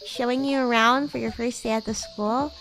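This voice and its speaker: A woman voicing a bratty, flustered demon schoolgirl who is secretly fond, teasing and halting, in an anime-like livestream roleplay. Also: on the high side, fairly even intonation, a bit bright, slight background noise, poor audio. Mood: flustered, haughty. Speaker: VTuber streamer.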